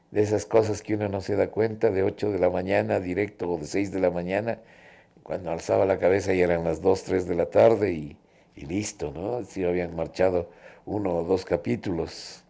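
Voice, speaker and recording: A man talking reflectively in an interview, deliberate, pausing between phrases. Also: sits low, creaky, mellow. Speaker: theatre actor and scriptwriter.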